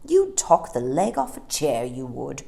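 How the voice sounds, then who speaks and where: A woman voicing a brisk, sharp-tongued nanny who scolds in a storybook reading. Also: a bass voice, sing-song, gravelly, exaggerated voice. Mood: scolding.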